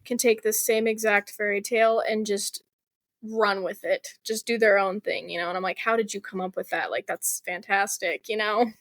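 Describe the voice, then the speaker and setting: A woman's voice: medium pitch, fairly even intonation, moderate pace, no room sound. A woman speaking as a guest author in a casual interview, chatty and informal, with plenty of "like" and "you know".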